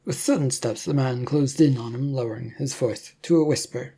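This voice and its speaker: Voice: mid-range voice, moderate pace, mellow, slightly rough. A narrator reading an adventure story from a script in a storytelling style.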